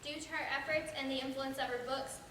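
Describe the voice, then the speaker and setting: A woman's voice: high-pitched, fairly even intonation, fast talker, lightly acted. A woman performing as the solemn epilogue narrator of a historical stage play. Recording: echoey hall, distant mic, audience noise.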